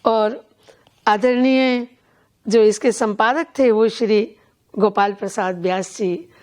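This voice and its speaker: An older woman being interviewed as a poet and event organiser, speaking in a measured, earnest, deliberate way. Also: a little high, fairly even intonation, a little mellow. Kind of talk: reflective conversational interview.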